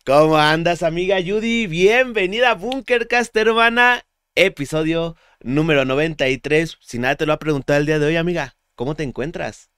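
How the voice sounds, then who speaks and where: Laid-back Mexican podcast host, slangy. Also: male voice, on the high side, moderate pace, clean tone, dry recording, studio quality. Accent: Mexican Spanish. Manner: relaxed, slangy, many fillers. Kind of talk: casual interview chat.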